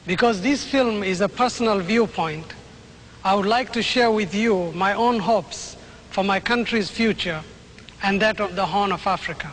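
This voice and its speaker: High-voiced male documentary narrator. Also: normal speed, fairly bright tone, slight background noise, low-quality recording.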